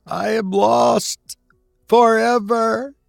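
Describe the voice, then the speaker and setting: A man's voice: extremely high pitch, steady tone, very slow, vocal fry, no echo, studio quality, soft background music, pitched above the performer's own voice, full character voice. A man voicing an ardent young male student in a storybook reading, fervent and dramatic in manner. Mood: frenzied despair. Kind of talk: storybook character dialogue.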